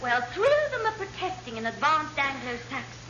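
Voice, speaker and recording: A woman playing a dutiful English naval secretary in classic radio comedy, deferential in manner and wryly deadpan. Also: high-pitched, brisk. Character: coolly dry, dutiful female naval secretary. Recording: muffled, noisy old radio recording.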